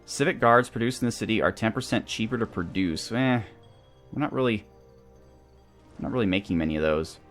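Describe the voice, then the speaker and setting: A man's voice: lowish voice, fairly quick, long pauses. A man hosting a video game playthrough, talking casually and thinking aloud as he weighs his options. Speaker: host of a gaming series. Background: background music.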